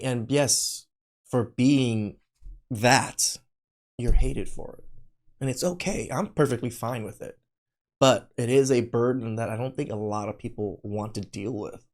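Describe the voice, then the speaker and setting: Low-voiced man, candid podcast commentary. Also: darkish tone. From Cambodia. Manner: earnest, candid, repeats himself. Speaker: podcast host reacting to a video. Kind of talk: casual reaction commentary.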